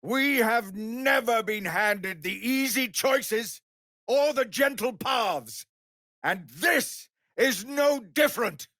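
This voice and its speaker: An actor playing a weary, dignified middle-aged tiefling ex-soldier in a video game, speaking in a measured, formal and earnest way. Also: male voice, very high-pitched, animated, pushed and bright, dry recording, studio quality, full character voice. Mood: rousing. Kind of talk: video game character dialogue.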